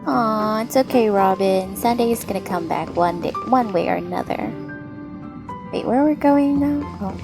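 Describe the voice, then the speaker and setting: A woman livestreaming a story game, reacting casually and excitedly with gushing, giggly fangirl comments. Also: mid-range voice, normal speed, vocal fry, music in the background.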